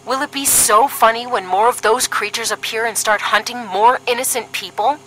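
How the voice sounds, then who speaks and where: A woman's voice: fairly high pitch, normal speed, fairly bright tone, a little hiss or hum, natural voice, low-quality recording. A woman acting as a sardonic, duty-minded young paramilitary agent in a scripted audio drama, dry and serious. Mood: weary, dry.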